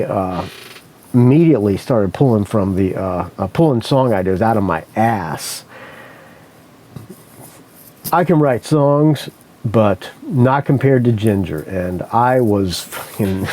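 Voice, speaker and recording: A man's voice: fairly low pitch, dark tone. A man hosting a podcast, telling a story in a casual, rambling way, correcting himself often and swearing freely. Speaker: podcast host.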